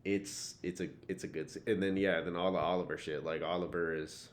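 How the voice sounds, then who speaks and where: A man's voice: low-pitched, steady tone, brisk. A man co-hosting a podcast in casual chat, blunt and slangy, swearing freely. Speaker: podcast co-host. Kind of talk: casual chat, TV show discussion.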